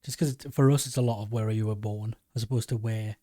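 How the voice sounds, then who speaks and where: A man's voice: lowish voice, steady tone, fast talker, warm tone, dry recording, studio quality. A man with a Geordie accent hosting a podcast, chatting casually and often restarting his sentences. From England. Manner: rambling, many restarts. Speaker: podcast host. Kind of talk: casual chat.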